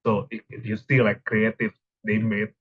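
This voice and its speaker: The audio is dull and lo-fi, carrying a man's slightly low voice. He speaks as a panelist, explaining his answer in a discussion. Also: monotone, normal speed, breathy edge. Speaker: panelist, marketing professional.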